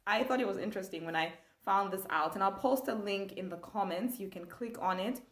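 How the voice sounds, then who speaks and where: Animated young woman teaching a language. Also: mid-range voice, a little fast, roomy sound. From Zambia. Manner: animated, gestures a lot, enthusiastic. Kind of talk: lively online language lesson. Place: small room indoors.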